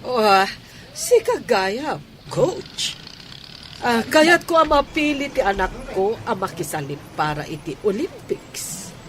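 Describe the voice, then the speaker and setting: A woman's voice: a little high, big pitch swings, slow, a little hiss or hum. A woman playing a nosy, ambitious middle-aged mother in a radio drama, with an Ilocano accent. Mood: pushy.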